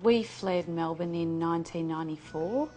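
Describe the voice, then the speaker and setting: A woman's voice: fairly low pitch, normal speed, mellow, faint music behind, lightly acted, low-quality recording. A woman playing an earnest, self-pitying bigoted mother giving a hesitant mock documentary interview. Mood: aggrieved, earnest.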